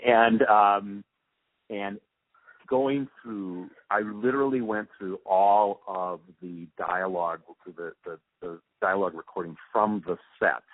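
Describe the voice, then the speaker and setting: A man reminiscing in an interview, telling his stories in an emphatic, animated way. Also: fairly low pitch, slow, dry recording. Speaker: interviewee, radio drama post-production supervisor. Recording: muffled phone line, dry.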